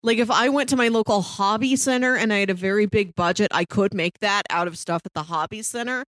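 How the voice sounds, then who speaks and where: A woman co-hosting a casual film review podcast. Her pitch sits in the middle range and the sound is dry, free of room echo. She describes things emphatically in an easy conversational style. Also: brisk, a bit bright. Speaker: podcast co-host.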